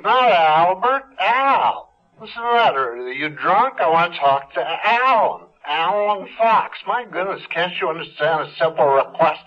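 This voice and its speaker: Slow, fussy, irritable telephone caller. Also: male voice, high voice, lively intonation. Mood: impatient. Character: irritable, pompous wrong-number telephone caller. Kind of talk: 1970s radio drama acting. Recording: dull, lo-fi phone call in radio drama.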